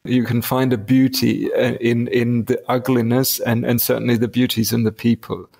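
Gravelly German-accented man, hesitant. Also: mid-range voice, level tone, moderate pace, darkish tone. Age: 50s. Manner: hesitant, many restarts and fillers. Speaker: guest designer. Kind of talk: casual interview talk.

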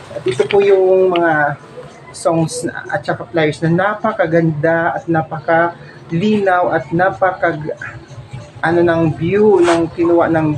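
A middle-aged man with a Filipino accent and a fairly high voice talks informally to the camera. He speaks in a halting, polite, repetitive way. The phone recording from his home has loud noise throughout. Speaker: vlogger showing a personal collection. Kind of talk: informal show-and-tell to camera. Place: small cluttered room at home.

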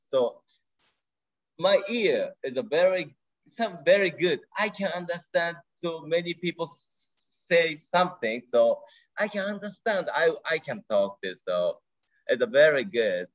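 A man in his thirties from Japan, speaking English with a Japanese accent in short, broken, excited phrases as an interview guest on a video call. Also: on the high side, measured pace, highs cut off. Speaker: guest angler. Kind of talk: casual interview. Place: home room.

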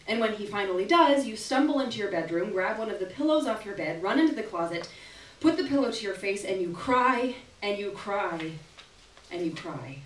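A young adult woman giving an expressive, dramatic reading of literary fiction on stage, acting out the dialogue. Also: on the high side, slight background noise. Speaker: performer reading a short story. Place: small indoor gallery with audience. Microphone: camera at a distance from stage. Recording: echoey room, distant microphone.

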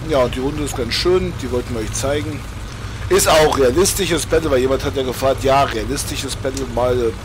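A German man with a hoarse, cold-worn voice talks casually through a replay of a tank battle. His delivery is relaxed and chatty, with the odd shrug of a sigh, at a middle pitch. Game noise rumbles clearly under his voice. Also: a little fast. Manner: relaxed, chatty, often says "mein Gott". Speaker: gaming channel host. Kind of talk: casual gameplay commentary. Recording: noisy game audio behind. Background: tank game sounds.

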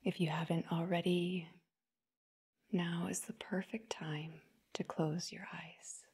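A woman guiding a meditation in a soft, breathy, whispery voice, gentle and soothing, in short phrases with long pauses. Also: lowish voice, flat delivery. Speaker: meditation guide. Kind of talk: guided meditation narration.

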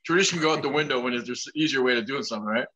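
A man's voice: mid-range voice, racing, pushed and bright. A man in his sixties hosting an interview over a webcam, asking long, winding, chatty questions. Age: 60s. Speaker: show host. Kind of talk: casual interview. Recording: dull lo-fi sound.

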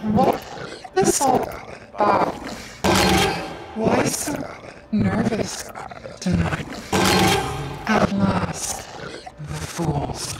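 An actress playing an eerie, menacing female monster in a horror video game, mocking and hungry, with drawn-out theatrical delivery and a layered, doubled voice. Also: medium pitch. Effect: layered, overlapping doubled voice. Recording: heavily echoing, distant, under loud music.

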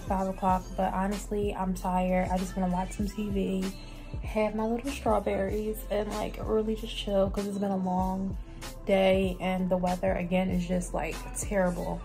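A woman's voice: medium pitch, little pitch movement, measured pace, warm tone, faint music behind. A young adult woman with an American accent, a vlogger chatting casually to the camera. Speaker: beauty and lifestyle vlogger.

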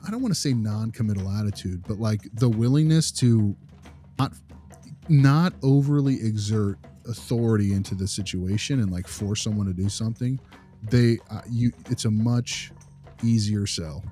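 A man's voice: lowish voice, normal speed, dark tone, soft background music. A man talking through his opinions in a casual podcast discussion, repeating phrases for emphasis. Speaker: podcast co-host, behavior analyst.

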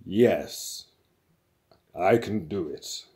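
A man voicing a vast ancient supercomputer in an audiobook, grave and pompous, speaking with weighty pauses. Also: low voice, lively intonation, a little mellow, heavily acted. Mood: solemn, considering.

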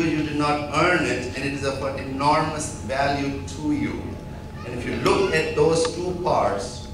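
A middle-aged man giving an animated motivational talk at a medical seminar, speaking into a handheld microphone in a large auditorium. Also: mid-range voice, recorded from a distance. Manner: animated, emphatic, counting off points. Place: large auditorium with seated audience. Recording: echoey hall, filmed from afar with noise.

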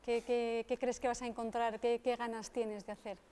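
A woman in her forties, an interviewer, asking friendly questions with short encouraging murmurs on a dark studio set. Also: on the high side, level tone, normal speed, smooth, in a large hall.

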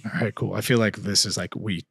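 A man in his thirties as a podcast guest, telling stories animatedly with restarts and trailing phrases, at a boom mic in a studio. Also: fairly even intonation, fairly quick, creaky, fairly bright tone, slightly rough, dry recording. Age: 30s. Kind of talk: casual podcast chat. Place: dim studio set on a couch. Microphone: boom mic, headphones.

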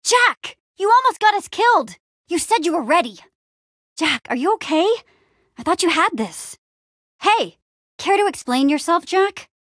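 Young video game heroine, plucky, bossy, encouraging, casual and expressive, very animated pitch, close dry studio sound. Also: female voice, a little slow, long pauses, dry recording. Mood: alarmed, scolding. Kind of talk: animated adventure game dialogue.

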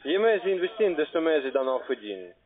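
A man who is not a native German speaker, working as a call-centre agent, giving a halting, repetitive, persuasive sales pitch. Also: very animated. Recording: tinny, band-limited phone line.

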